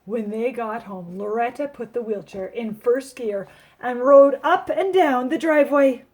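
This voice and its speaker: A woman reading a picture book aloud to children like a teacher, expressive and emphatic, with asides to her listeners. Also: on the high side, unhurried.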